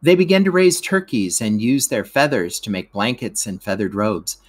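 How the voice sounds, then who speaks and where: A man reading a scripted educational narration aloud like a teacher, in a measured, explanatory way. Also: fairly low pitch, lively intonation, breathy edge.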